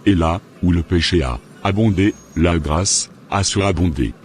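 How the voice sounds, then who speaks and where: Low-pitched straying husband, acted by a man. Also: a little slow, darkish tone, slight background noise. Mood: defensive. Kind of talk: scripted cartoon dialogue.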